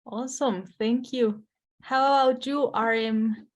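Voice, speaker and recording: A woman's voice: a little high, steady tone, a little slow. A woman from Mexico City, informally moderating an author panel.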